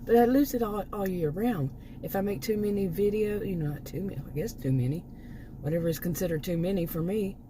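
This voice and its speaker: A middle-aged woman, hoarse from losing her voice, talking in a rambling, unscripted way with many restarts and asides. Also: medium pitch, brisk, noisy background. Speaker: vlogger. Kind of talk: casual unscripted monologue.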